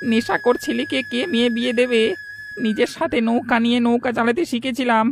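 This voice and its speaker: A man's voice: very high voice, fairly even intonation, fast talker, creaky, slight background noise. A man playing a remorseful, stubborn older village boatman in a cartoon drama, speaking in an earnest rustic manner. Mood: mournful.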